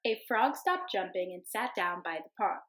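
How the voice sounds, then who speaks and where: A young woman teacher reading a picture book aloud to her class in a gentle storytelling voice, calling the animals in a sing-song tone. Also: mid-range voice, sing-song, moderate pace. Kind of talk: children's read-aloud.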